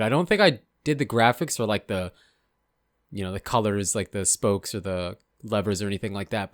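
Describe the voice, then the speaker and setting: A man casually talking over game footage, rambling and unsure of himself, a little hoarse from losing his voice. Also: fairly low pitch, no echo, clean studio sound. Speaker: gaming channel host. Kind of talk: casual gameplay commentary.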